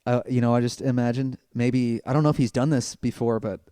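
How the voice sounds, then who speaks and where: A man co-hosting a casual wrestling podcast with his father, talking in a relaxed way and laughing often. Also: medium pitch, brisk, clean tone, no echo, clean studio sound. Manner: laid-back, laughs easily. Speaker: podcast co-host, son. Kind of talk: casual chat.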